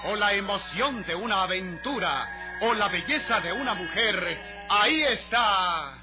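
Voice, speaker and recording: A man's voice: sits high, lively intonation, measured pace. A man announcing a radio adventure serial in a grand, theatrical, declamatory style. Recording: muffled old radio recording, loud music.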